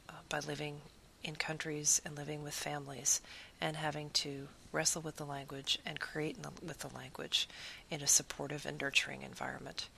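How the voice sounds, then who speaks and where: A woman's voice: low-pitched, creaky, pushed and bright, a little hiss or hum, rough recording. A woman who teaches Spanish, giving an earnest, reflective talk about her teaching and piling up long lists of phrases.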